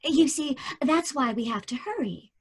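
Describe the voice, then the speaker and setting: An actress playing an eerie, calm young woman in her twenties in a radio drama, speaking in a cool, knowing, quietly insistent way. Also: high voice, normal speed. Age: 20s.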